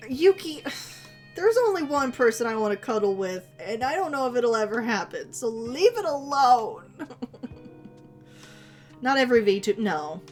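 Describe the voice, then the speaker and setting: A woman's voice: a little high, big pitch swings, measured pace, pauses often, soft background music. Giggly rambling woman streamer. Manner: giggly, rambling, self-teasing. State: losing her voice. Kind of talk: casual stream chat with viewers.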